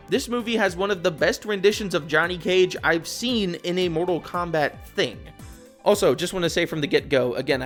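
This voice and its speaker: A video essayist delivering scripted commentary with informal, wry asides. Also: mid-range voice. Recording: music behind the voice. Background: background music.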